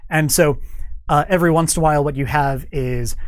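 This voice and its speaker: A man speaking as a guest in a studio chat, explaining things in an animated way. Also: on the high side, brisk, no room sound. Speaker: guest, game organized play developer.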